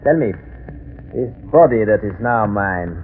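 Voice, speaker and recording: Through a tinny, noisy old radio recording comes a male mad scientist. He is grandiose and menacing, savoring each deliberate phrase as a genius bent on revenge. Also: fairly low pitch, animated. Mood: smug, pleased. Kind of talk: old-time radio horror acting.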